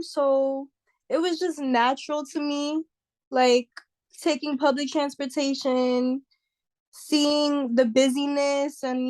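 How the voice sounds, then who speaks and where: Casting: young woman, somewhat high voice, easygoing, reflective podcast guest. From New York. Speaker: guest singer. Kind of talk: casual interview chat.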